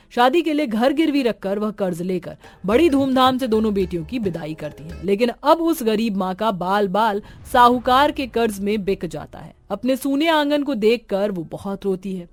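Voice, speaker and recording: A woman's voice: fairly high pitch, animated, moderate pace, few pauses, no echo. A woman reading dramatic scripted narration as the storyteller. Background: music.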